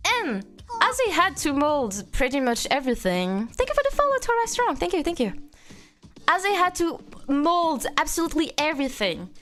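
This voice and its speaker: A young woman from France speaks in English with a clear French accent, her voice sitting high and swooping around lively. She chats casually with a live-stream audience, teasing and reading messages aloud. Soft music plays faintly underneath. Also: normal speed. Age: 20s. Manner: playful, reads chat aloud, frequent laughter.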